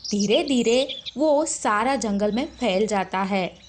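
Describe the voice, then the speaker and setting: High-pitched woman storyteller, slow pace. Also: lively intonation, slight background noise. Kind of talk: scripted children's story narration.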